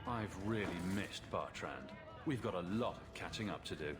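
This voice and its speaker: A wry, low-voiced adventurer. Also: male voice, lively intonation, normal speed, music in the background. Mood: dry, teasing. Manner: wry, sardonic. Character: wry, self-assured male adventurer hero. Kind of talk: video game dialogue.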